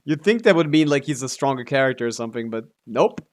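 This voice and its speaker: A man's voice: mid-range voice, expressive, fast talker. A man live streaming a video game, chatting casually in a laid-back way with some offhand swearing as he comments on play.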